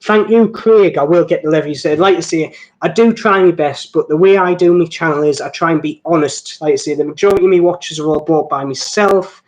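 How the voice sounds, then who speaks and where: A man with a Geordie accent hosts an informal live stream. His voice sits a little higher than most men's. He talks in a rambling, chatty way, jokey and happy to poke fun at himself as he responds to viewers. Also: fairly quick, darkish tone, low-quality recording. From north-east England. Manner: rambling, jokey, self-deprecating. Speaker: host of a watch channel live stream. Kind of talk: casual live-stream chat, answering viewer comments.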